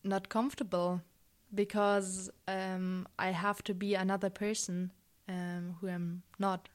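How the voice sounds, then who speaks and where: Woman from germany, slow and hesitant with lots of ums, smooth clear voice, pretty flat. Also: mid-range voice. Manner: hesitant, many ums, thoughtful. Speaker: guest. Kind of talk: casual conversation.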